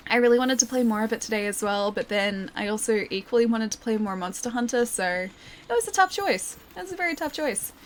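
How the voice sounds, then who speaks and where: A woman's voice: on the high side, expressive, a little fast, slight background noise. A young Australian woman streaming a game, chatting casually and answering her viewers. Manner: chatty, upbeat, reads chat aloud and answers viewers. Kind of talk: casual live-stream chat while gaming. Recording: game music playing behind the voice.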